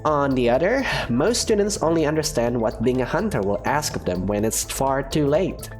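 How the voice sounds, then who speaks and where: A man voicing a calm female academy professor who lectures in a measured, dryly teasing way. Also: medium pitch, faint music behind, lightly acted. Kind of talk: fan visual novel voice acting.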